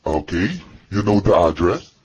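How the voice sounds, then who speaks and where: A man's voice: sits low, big pitch swings, measured pace. A man playing a cold crime boss in a radio drama, giving orders in a matter-of-fact, commanding way.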